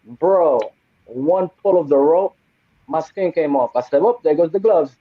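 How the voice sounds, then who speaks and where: A man's voice: a little high, expressive, unhurried, no room sound. A man in his forties chatting casually on a podcast, loose and heartfelt, peppering his talk with "you know". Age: 40s. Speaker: podcast co-host and trip volunteer. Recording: dry, tinny phone-like sound.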